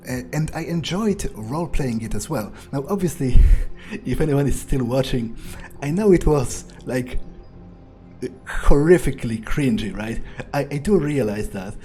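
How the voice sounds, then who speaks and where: A man speaking English as a second language talks through his thoughts on a game in a loose, halting way, often stopping to restart a phrase. His voice sits at an ordinary middle pitch for a man. Clear guitar music plays underneath him the whole time. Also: lively intonation, mellow. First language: not English. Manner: halting, rambling, frequent restarts. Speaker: gamer, channel host. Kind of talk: casual out-of-character recap.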